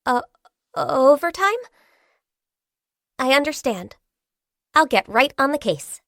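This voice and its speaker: An actress playing a gentle, diligent, shy and youthful half-beast secretary in a video game, speaking politely and earnestly. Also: female voice, high-pitched, sing-song, slow, dry recording, studio quality, heavily acted. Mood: flustered, shy. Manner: polite, earnest, hesitant when flustered. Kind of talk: video game character voice lines.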